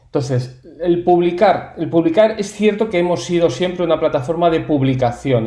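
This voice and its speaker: Mellow man in an echoey room. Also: on the high side. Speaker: interview guest, company founder. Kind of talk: explanatory interview talk. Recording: roomy, echoey sound.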